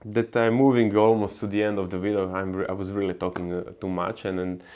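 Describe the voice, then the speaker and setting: A man's voice: low-pitched, level tone, brisk, a little mellow. Hesitant Croatian-accented man vlogging. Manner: hesitant, many fillers and restarts. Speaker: gardening vlogger. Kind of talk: casual talk to camera.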